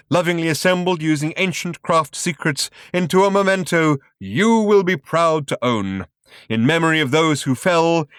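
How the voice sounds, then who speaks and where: A thunderous, high-pitched showman orator. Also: a bit bright, smooth, dry recording, clean studio sound. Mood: solemn sales pitch. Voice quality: thunderous, booming delivery. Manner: grandiose, drawn-out, sentimental salesman's oratory. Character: bombastic showman orator, recorded voice of a galactic spectacle. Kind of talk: theatrical proclamation in a science fiction audiobook.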